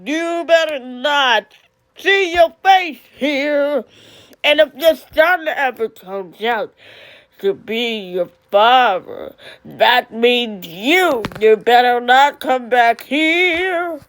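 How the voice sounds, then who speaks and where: Stern domineering dad, played by a woman, slow and weighty, threatening, for a dramatic monologue. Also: sits high, expressive, a bit bright, heavily acted. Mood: menacing, scornful. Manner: slow, emphatic, menacing delivery. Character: stern, controlling, threatening father scolding his son. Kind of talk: dramatic solo monologue acting.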